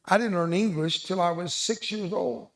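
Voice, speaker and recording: A man's voice: high voice, normal speed, vocal fry, a bit bright. An older man from South Texas whose first language was Spanish, giving an emphatic motivational speech to an audience and repeating his key lines. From South Texas, USA. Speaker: veteran speaker, resiliency trainer.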